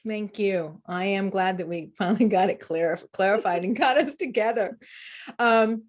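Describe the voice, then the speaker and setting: A woman hosting a podcast interview, lively and quick with a joke or an aside. Her pitch sits in an ordinary middle range for a woman. She speaks casually, as if chatting with a friend. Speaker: podcast host.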